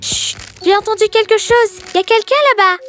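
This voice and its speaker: A woman voicing a tiny, eager baby girl in a children's cartoon, squeaky and exclamatory. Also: steady tone, a little fast, over music. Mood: alert, earnest.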